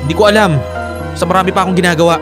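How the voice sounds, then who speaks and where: Fast, exasperated Filipino husband played by an actor. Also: male voice, sits high, steady tone, over music, lightly acted. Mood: irritated. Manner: curt, exasperated. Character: busy, impatient, irritable husband worn down by his wife. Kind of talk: Filipino radio drama acting.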